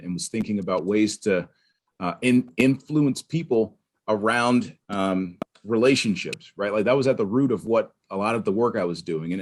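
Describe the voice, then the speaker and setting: A man from Pittsburgh, a podcast guest, telling his story in an animated, conversational way with frequent restarts. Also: lowish voice. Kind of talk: conversational interview.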